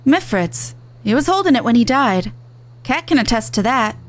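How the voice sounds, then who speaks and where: A woman voicing an earnest, plain-spoken woman merchant from a video game, in a brisk, colloquial manner. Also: a little high, big pitch swings. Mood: earnest, pleading. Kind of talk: reading video game dialogue in character.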